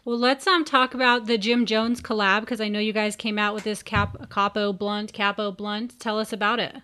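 Woman, interview host, casual slangy speech, medium pitch. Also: flat delivery, normal speed, pushed and bright, smooth. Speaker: podcast host.

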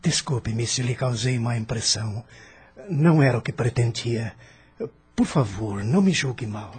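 Male actor as a lovestruck young coach hand for radio drama: husky, earnest, flustered. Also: medium pitch, animated, normal speed, a little mellow.

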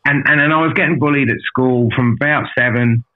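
A man's voice: medium pitch, steady tone, a little fast. Blunt, sweary man on a tinny line. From an island outside Australia. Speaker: podcast guest telling life story. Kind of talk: casual candid storytelling.

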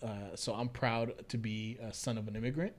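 A man's voice: lowish voice, fairly even intonation, moderate pace. A man co-hosting a casual podcast chat, explaining his views at length with restarts and the odd laugh. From United States. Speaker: podcast co-host.

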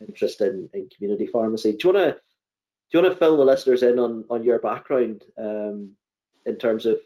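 A man's voice: fairly low pitch, warm tone, rough recording. A man hosting a pharmacy podcast, chatty and rambling, often repeating his words as he asks questions. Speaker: podcast host. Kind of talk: casual interview.

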